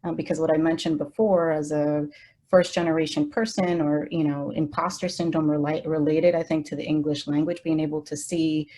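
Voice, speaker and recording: A woman's voice: low voice, level tone, fairly quick, mellow, low-quality recording. A woman whose first language is Spanish, speaking in a reflective, hesitant way with many fillers during a roundtable discussion over a video call. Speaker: faculty member.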